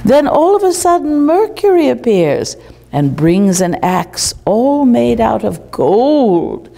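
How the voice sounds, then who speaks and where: Older female performer as grandmotherly 18th-century storyteller: mellow, unhurried, formal and instructive, in a reverberant room. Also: a little high, very animated, creaky, full character voice. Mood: genteel, instructive. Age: older, 60s or above. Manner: formal, old-fashioned, deliberate, didactic. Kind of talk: storytelling, reading a fable aloud to children. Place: small indoor room, seated.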